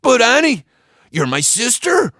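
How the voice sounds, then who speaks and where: Blustery male pirate captain played by a male reader, raised pitch, whiny little-brother streak, storybook style. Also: wildly swooping pitch, a little slow, dry recording, clean studio sound, full character voice. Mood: pleading. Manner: loud exclamatory blustering.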